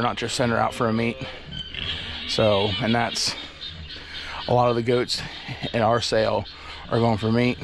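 A man's voice: medium pitch, slight background noise. A man, vlogging in an easygoing, chatty way while tired out on his farm. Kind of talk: casual vlog narration. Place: outdoors on a farm and in a pickup truck. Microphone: handheld action camera at arm's length.

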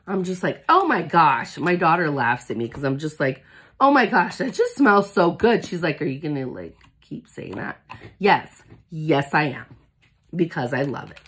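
A woman in her forties who has lost her voice, talking hoarsely with a cough in a chatty, gushing unboxing vlog, seated in a small room in front of a phone camera. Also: low voice. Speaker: independent product consultant. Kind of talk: casual product unboxing vlog. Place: small indoor room with shelves, seated at a table.

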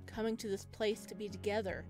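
A woman's voice: mid-range voice, level tone, fairly quick. A woman streaming a visual novel playthrough, reading aloud expressively with playful, chatty reactions. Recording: music playing behind the voice.

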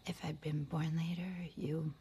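A woman performing a dramatic film monologue as a woman confessing forbidden love, in a breathy, whispery, intimate voice. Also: deep voice, little pitch movement, normal speed, slight background noise, lightly acted. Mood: tender, wistful. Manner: hushed, confessional delivery.

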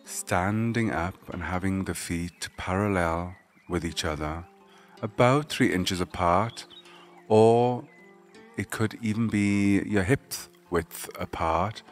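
A man leading a guided meditation, giving gentle, measured spoken instructions. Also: deep voice, soft background music.

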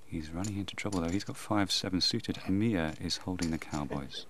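A man's voice: low-pitched, fairly quick, background noise. A man hosting and commentating on a televised poker game. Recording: music under the voice. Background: music.

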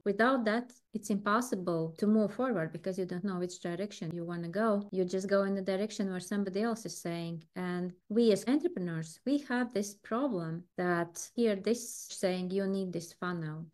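A Latvian-accented woman in her forties gives an earnest devotional talk straight into her webcam from a room at home. Her English comes in short, halting phrases, and she pauses often as she gathers her thoughts. Her voice sits at an ordinary middle pitch for a woman. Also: darkish tone. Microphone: video call webcam, close framing.

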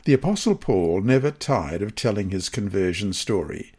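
A man with a husky voice reads a scripted devotional lesson aloud in a measured, careful manner. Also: lowish voice, expressive, a little mellow. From Australia. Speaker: lesson reader.

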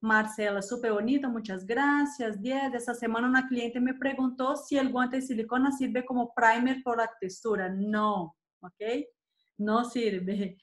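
A woman's voice: fairly high pitch, steady tone, unhurried. A woman in her thirties from Colombia with a Colombian accent, hosting a casual live makeup chat from a small room on a webcam, often checking in with "¿sí?". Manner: chatty, tags questions with "¿sí?". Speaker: host of a live makeup session. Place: small indoor room, seated at a desk. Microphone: webcam at desk distance.

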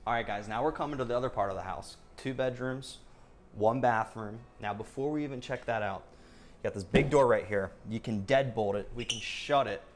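A man in his thirties leads a real estate tour, his voice medium in pitch. He talks in an upbeat sales patter, firing off features in short bursts as he walks through the house on camera. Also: fairly quick, a little hiss or hum. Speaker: real estate agent giving a home tour. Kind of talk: enthusiastic walkthrough tour. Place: inside and around a single-story house.

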